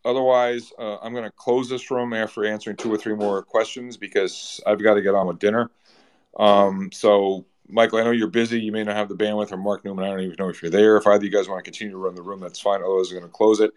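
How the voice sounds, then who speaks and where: A man hosting a live audio room, briskly handing off the room and calling on the next speaker. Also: fairly low pitch, little pitch movement, clear, resonant tone. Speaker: host. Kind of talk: casual moderating.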